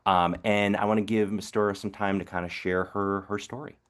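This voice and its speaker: A man's voice: fairly low pitch, little pitch movement, a little fast, smooth. A man moderating an online panel discussion, speaking reflectively with frequent fillers and restarts over a video call.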